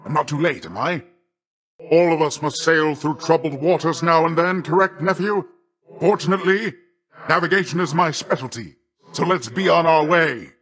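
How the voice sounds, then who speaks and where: An actor playing a boastful, middle-aged sea god in a video game, a hearty and doting uncle with a grandiose, jovial, theatrical delivery. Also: male voice, on the high side, normal speed, fairly bright tone. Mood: grand, genial. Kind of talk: video game character voice lines.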